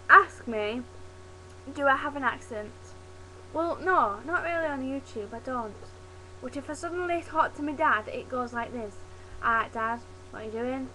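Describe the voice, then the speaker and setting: A young woman from Yorkshire with a softened Yorkshire accent, chatting casually to her webcam. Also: high voice, a little hiss or hum, poor audio. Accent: Yorkshire, softened toward standard British English. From Yorkshire, England. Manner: chatty, demonstrates dialect words, laughs at herself. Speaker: vlogger. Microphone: webcam mic.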